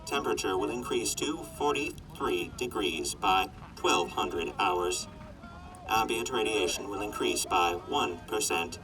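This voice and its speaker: Male actor as a low, mechanical forecast robot, distant, music bed; audio drama. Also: measured pace, natural voice. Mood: reporting. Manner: flat, methodical report delivery. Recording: distant voice over loud music.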